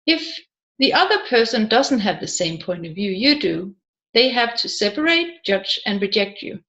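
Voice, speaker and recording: A woman reading a scripted audiobook in a measured, deliberate way, phrase by phrase. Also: medium pitch, big pitch swings, a bit bright, dull audio. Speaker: audiobook narrator.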